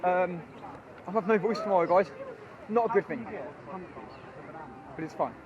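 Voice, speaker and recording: A hoarse young man, chatty and rambling as he walks through a theme park with his phone. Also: high-pitched, normal speed, lo-fi sound, crowd noise. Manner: chatty, rambling, excitable, many ums. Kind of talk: walking vlog commentary.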